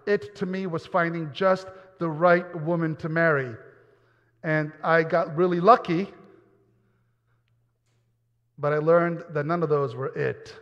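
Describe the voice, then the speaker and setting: A man's voice: on the high side, steady tone, measured pace, pauses often. A man from New York giving a personal testimony sermon in a church, earnest and often repeating words as he goes. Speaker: pastor. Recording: dull sound with long hall reverb.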